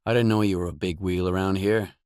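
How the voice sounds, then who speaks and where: An actor playing a wary, world-weary stalker, the blunt protagonist of a video game, in terse acted dialogue. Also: male voice, low-pitched, fairly even intonation, quick pace, darkish tone, smooth, dry recording, clean studio sound, close to an everyday voice. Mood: probing, wary.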